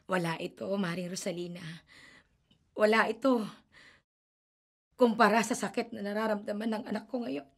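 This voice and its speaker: A woman's voice: medium pitch, steady tone, moderate pace, long pauses, close to an everyday voice. A woman voicing a desperate, grieving mother in a horror radio drama, pleading and emotional. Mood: anguished.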